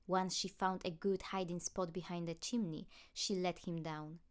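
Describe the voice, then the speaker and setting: A woman's voice: lowish voice, level tone, moderate pace. A woman reading scripted fiction as an audiobook narrator.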